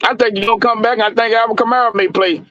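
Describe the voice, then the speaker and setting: A forty-year-old man with a notably high voice talks sports in a relaxed, rambling way, looping back over his points with easy laughs. The sound is dry and a bit muffled, like lo-fi call audio. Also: fast, no room sound. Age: 40s. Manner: laid-back, laughs often, repeats himself. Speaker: podcast co-host. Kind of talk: casual sports chat.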